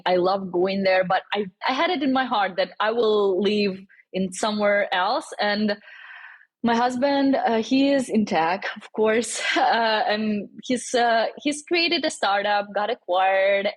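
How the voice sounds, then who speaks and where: A young woman with a Ukrainian accent and a slightly husky voice talks as a podcast guest. Her English is not her first language, and she speaks earnestly, with small slips in grammar. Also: mid-range voice. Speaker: guest cookbook author. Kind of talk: podcast interview conversation.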